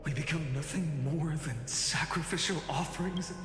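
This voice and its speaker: A man playing a solemn, resolute young fantasy hero in a video game, speaking in a grave, measured way. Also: fairly high pitch, close to an everyday voice. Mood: defiant resolve. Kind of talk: dramatic video game voice acting. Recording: reverberant hall effect over music.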